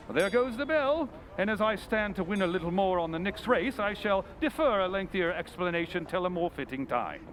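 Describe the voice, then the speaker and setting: A man's voice: high voice, noisy background. A man playing a confident, analytical Victorian detective in an old-time radio drama, explaining things precisely and with great self-assurance.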